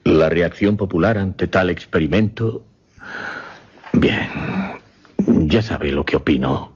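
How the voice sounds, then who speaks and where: An actor playing an earnest, formal mesmerist in a horror radio play, grave and dramatic, with a low, husky voice. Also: male voice, a little mellow, lo-fi sound, heavily acted. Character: earnest male mesmerist recounting and conducting a grim experiment. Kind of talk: Spanish-language horror radio play acting.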